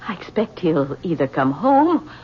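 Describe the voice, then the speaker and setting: An actress playing a guarded middle-aged widow who often hesitates and stammers. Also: female voice, lowish voice, big pitch swings, unhurried. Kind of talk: 1970s radio drama acting. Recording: dull old radio recording with faint hiss.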